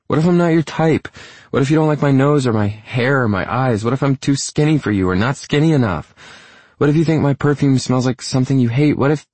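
Man acting young woman, audiobook dialogue, raised pitch, bubbly, self-conscious, sweet, gushing, dry sound. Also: a little fast, a little mellow, dry recording, lightly acted. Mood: anxious, flustered.

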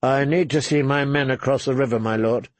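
A man's voice: mid-range voice, steady tone, fairly quick, no echo, close to an everyday voice. A man voicing a firm, formal young king in an audiobook.

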